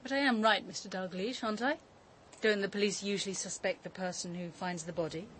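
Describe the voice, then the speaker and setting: An actress playing a sharp, accusing woman who speaks in a pointed, challenging way in a radio drama. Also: mid-range voice, fairly quick, slight background noise, close to an everyday voice. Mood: needling.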